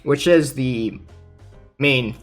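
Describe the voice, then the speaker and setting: A man's voice: mid-range voice, drawn-out words. A man casually reading aloud and commenting in an informal, chatty way, like a gaming content creator. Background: background music.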